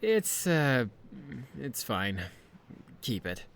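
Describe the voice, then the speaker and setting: Woman playing a very low-voiced, exasperated studious researcher. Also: sing-song, extremely slow, slight background noise, heavily acted. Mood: awkward concern. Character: studious, easily exasperated researcher, awkwardly kind. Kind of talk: amateur audio drama acting.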